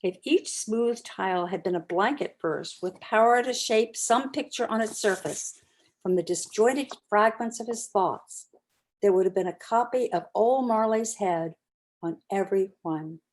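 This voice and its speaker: A woman reading classic literature aloud as narrator, with expressive, deliberate dramatic storytelling. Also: mid-range voice. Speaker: narrator, community reader.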